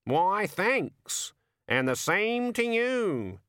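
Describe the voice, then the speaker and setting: Man doing a raven, high, whiny, gloomy, self-pitying, dry sound. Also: very animated, extremely slow, fairly bright tone, clear, resonant tone, dry recording, full character voice. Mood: cheery. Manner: whining, gloomy complaining. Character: grumbling talkative male raven, self-pitying.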